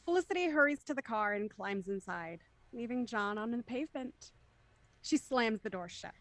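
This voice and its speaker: A woman reading scripted stage directions for an audio drama in a crisp, matter-of-fact way. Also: a little high, expressive, moderate pace, no echo. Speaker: narrator.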